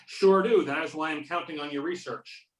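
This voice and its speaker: A woman's voice: sits low, moderate pace, close to an everyday voice. A woman playing a wry investigator making a phone call in an amateur readers theater reading. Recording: echoey room over conference call.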